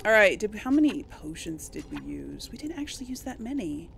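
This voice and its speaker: A woman giving casual, expressive gameplay commentary, reacting aloud and talking to the game's characters. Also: medium pitch, brisk, gravelly, a little hiss or hum. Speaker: gamer narrating a role-play let's play. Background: game music behind the voice.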